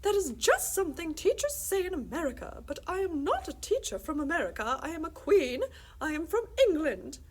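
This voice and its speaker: A woman's voice: high-pitched, very animated, a little fast, voice pushed up. A woman voicing a haughty, pompous Queen of England from a children's storybook. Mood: curt, commanding. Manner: pompous, commanding, formal.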